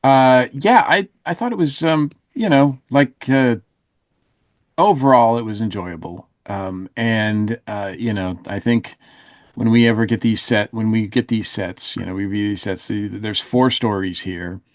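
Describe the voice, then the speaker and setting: A man on a podcast panel giving a casual review in a halting, thoughtful, hedging way. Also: on the low side, no echo, studio quality.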